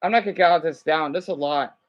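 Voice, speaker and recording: A man's voice: a little high, very fast, muffled recording. A man making a casual reaction vlog who chats along and stumbles over foreign words. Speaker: vlogger reacting to a video.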